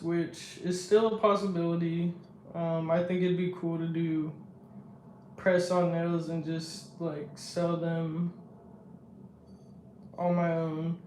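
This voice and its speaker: Deep-voiced, unhurried young woman. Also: a little mellow. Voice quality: deep, strong voice. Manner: unhurried, reflective, self-assured. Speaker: singer and live streamer. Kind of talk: casual live-stream talk. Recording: echoey room.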